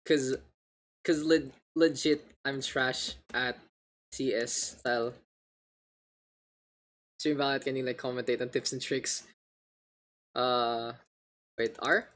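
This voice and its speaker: A man with a gravelly voice hosting an esports livestream, mixing casual slangy chat and game commentary. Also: mid-range voice, fairly even intonation, slow pace, long pauses, a bit bright. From Philippines. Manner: informal, gamer slang, joking asides. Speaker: esports caster, streamer hosting the match. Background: video game sounds and announcer.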